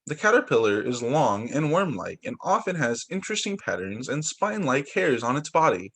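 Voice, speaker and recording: A man's voice: medium pitch, very animated, moderate pace, a bit bright, slightly rough. A young man, a teacher, reading picture books aloud to children over a video call and breaking in with little asides and exclamations. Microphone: computer mic on a video call.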